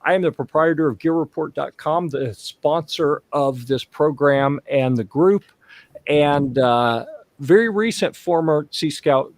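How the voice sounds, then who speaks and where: Host: man, pitched a bit high, dry close sound, chatty and jokey, video call. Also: unhurried, dry recording. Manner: chatty, joking, with fillers. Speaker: host and show sponsor. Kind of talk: casual live-stream panel hosting.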